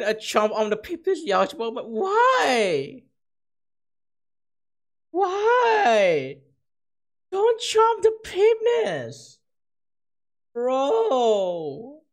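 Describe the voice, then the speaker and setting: A streamer who has talked far too long, the voice rough, hoarse and cracking at the edges. The delivery is excitable and gushing, with a self-mocking streak as they keep thanking viewers. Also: sits high. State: hoarse, losing voice. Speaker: livestreamer. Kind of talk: casual stream chat with viewers.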